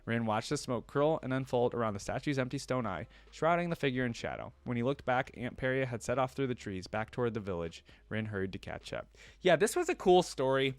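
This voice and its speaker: A man's voice: mid-range voice, lively intonation, brisk, no echo. A man hosting a reaction video, reading aloud and commenting casually.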